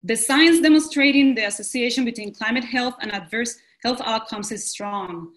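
A woman, giving an earnest, measured prepared presentation as a pediatrician over a video call from a home room. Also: a little high. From Puerto Rico. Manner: earnest and measured, reading a script.

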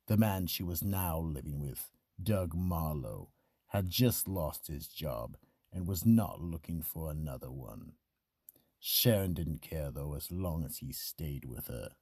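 Extremely deep, husky, slow male narrator. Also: dark tone, dry recording, studio quality. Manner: solemn, ominous storytelling. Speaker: narrator and host. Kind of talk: scripted mystery radio narration.

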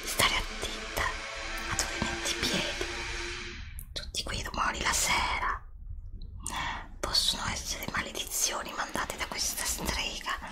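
Whispering, slow, solemn princess acted by a woman. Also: over loud music, natural voice. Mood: solemn, grave. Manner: slow, hushed, dramatic pleading. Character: solemn pleading princess of a threatened castle kingdom. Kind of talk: whispered ASMR roleplay. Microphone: close desktop USB microphone.

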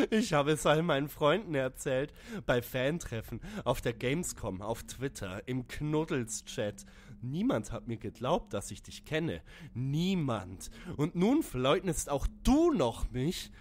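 Male actor as unhinged stalker fan, menacing and theatrical, slow with big pitch swings, noisy ambience. Parody audio drama. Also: big pitch swings. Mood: aggrieved, manic. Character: obsessed deranged male fan in a wheelchair, menacing.

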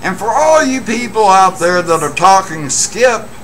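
An American man speaks slowly in a fairly high voice, with emphatic, boastful phrasing. He addresses his viewers casually from a room at home, with some faint noise behind him. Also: lively intonation, fairly bright tone. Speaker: CB radio hobbyist vlogger. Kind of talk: casual monologue to camera. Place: small indoor room at home. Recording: noisy home webcam recording.

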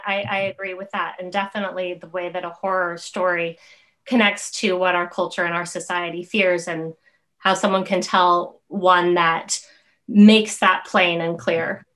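A woman's voice: lowish voice, a bit bright. A middle-aged woman hosting a conversational interview over a video call. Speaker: host, interviewer. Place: room at home, video call. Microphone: computer video call.

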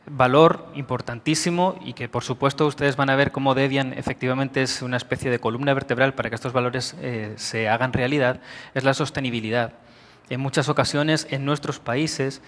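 Middle-aged guy from spain giving a conference talk, spanish accent, lots of eh pauses, big echoey hall. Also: mid-range voice, fairly bright tone. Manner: measured, explanatory, with frequent "eh" pauses. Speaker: conference speaker. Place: large auditorium. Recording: long hall reverb.

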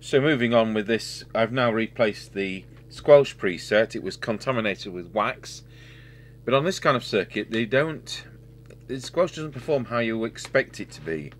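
A man's voice: on the low side, expressive, moderate pace. A man giving an unscripted hands-on repair commentary as a technician, thinking aloud while he works. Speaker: radio repair technician and host. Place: indoor electronics workbench. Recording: noisy workbench recording with hum and radio hiss.

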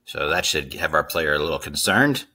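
A man's voice: a bass voice, expressive, normal speed, bright, forceful tone. A middle-aged man hosting a casual screen-share coding tutorial. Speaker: tutorial host.

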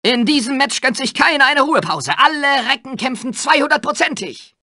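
An excitable male sports commentator from a cartoon game. He calls the match theatrically, with lively swings in pitch and a sharp, forceful tone. The recording is dry and close, and the delivery is over-the-top and exclamatory. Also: a little fast, dry recording, full character voice. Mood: excited.

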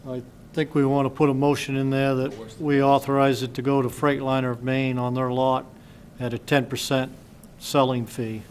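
A man chairing a town council meeting, reading agenda items briskly into a desk microphone in a council chamber. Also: mid-range voice, level tone, darkish tone, slight background noise.

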